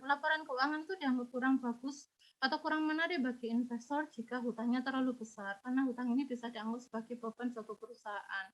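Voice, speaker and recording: A woman's voice: sits high, fairly bright tone, lo-fi sound. A woman teacher with a cold explaining exam answers step by step, her voice a little congested and unclear. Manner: patient, step-by-step explaining, addresses students directly. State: has a cold.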